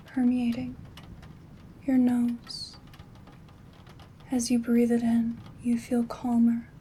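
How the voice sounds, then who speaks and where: Woman playing a slow, whispering gentle witch. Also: a little high. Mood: soothing. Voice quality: soft, breathy whisper. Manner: soothing, slow, hushed, formal and tender wording. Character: gentle, affectionate female witch and caring friend. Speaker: ASMR roleplay performer. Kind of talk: soft-spoken, whispered ASMR roleplay. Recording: steady background rain ambience noise.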